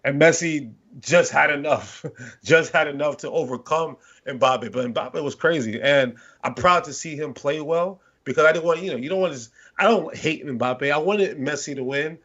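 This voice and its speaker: A man's voice: fairly high pitch, normal speed. A man born in London to a Nigerian family, co-hosting a sports podcast and telling a long, rambling story in casual chat. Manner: rambling, animated storytelling with restarts. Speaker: podcast co-host. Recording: slightly dull lo-fi podcast audio.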